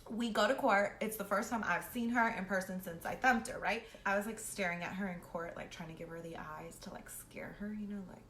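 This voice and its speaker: A woman's voice: fairly high pitch, sharp tone. A young woman telling a personal story casually and animatedly, with brash, slangy, heavily profane talk, acting out both sides of exchanges. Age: young adult. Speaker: host of a storytime series. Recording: roomy echo with faint background noise.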